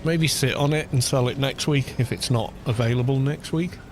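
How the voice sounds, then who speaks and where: A man with a baritone voice, chatting casually on a gaming live stream and muttering to himself as he plays. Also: moderate pace, noisy background. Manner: relaxed, muttering to himself between remarks. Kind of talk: casual live-stream commentary while playing. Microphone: studio microphone with low cut. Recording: game music playing behind the voice. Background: game music.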